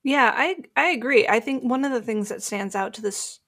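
A woman's voice: a little high, normal speed, fairly bright tone. A woman co-hosting a podcast, talking reflectively and conversationally. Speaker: podcast co-host.

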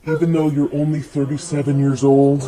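Solemn male doctor, woman doing the voice, low and deliberate, homemade radio sketch. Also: level tone, a crowd in the background, lightly acted, rough recording. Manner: solemn, slow, deep put-on voice. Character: grave male doctor delivering bad news.

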